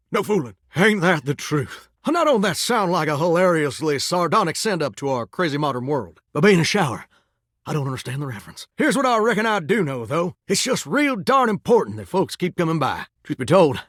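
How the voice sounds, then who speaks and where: A man's voice: a little high, a bit bright, husky, no echo, clean studio sound, heavily acted. A man playing a folksy, flirtatious talking shower in a video game, with a theatrical Southern US drawl and a wistful streak. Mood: folksy, chatty. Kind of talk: video game character acting.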